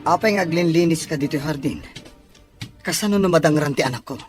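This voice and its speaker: A Filipino man playing a wealthy, protective young expectant father in an audio drama, with a raspy voice. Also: a little high, fairly quick, music in the background, lightly acted. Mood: caring.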